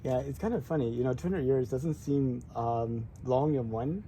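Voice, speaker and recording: A man with a mellow, relaxed tone, asking questions in a casual, hesitant way with plenty of uhs and ums. A faint noise sits behind his voice. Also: medium pitch, normal speed. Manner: hesitant, uses uh and um often. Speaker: interviewer. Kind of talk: casual interview.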